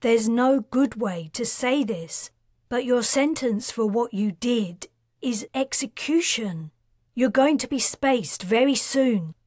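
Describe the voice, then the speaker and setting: A woman's voice: fairly high pitch, lively intonation, takes it slowly, darkish tone, no echo, clean studio sound. A woman voicing a young mage woman who scolds sharply and is near tears, in an audiobook. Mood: grieving.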